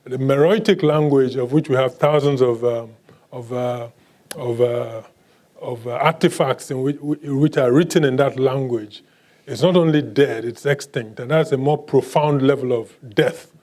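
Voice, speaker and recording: A male author whose first language is Igbo answers audience questions at a book talk, speaking in a measured, halting way with many fillers and restarts and a dry, self-mocking humor. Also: mid-range voice, darkish tone.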